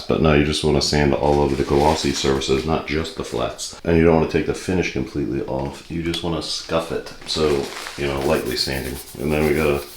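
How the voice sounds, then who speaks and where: Man, 40s, DIY vlogger: deep, even-toned, relaxed how-to talk, phone mic with scraping and sanding noise. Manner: relaxed, plain step-by-step explaining. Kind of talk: casual how-to narration while working. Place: small indoor room at home. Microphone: handheld phone camera.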